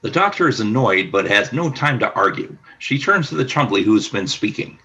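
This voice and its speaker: Male radio drama narrator, lowish pitch, sharp bright tone, raspy, scripted delivery. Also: sing-song, normal speed, dull audio.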